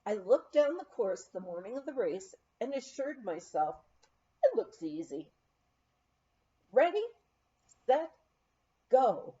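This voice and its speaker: Female memoir reader, lively swooping intonation, unhurried and soft-toned, slightly muffled sound. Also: fairly high pitch. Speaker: audiobook reader. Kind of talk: expressive memoir reading.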